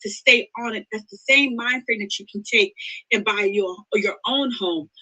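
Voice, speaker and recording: A woman in her fifties speaks with a bright, forceful tone, explaining her points with earnest emphasis. She talks as a podcast guest, and her pitch sits in a middle range. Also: normal speed, slightly rough, low-quality recording. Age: 50s. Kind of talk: interview conversation.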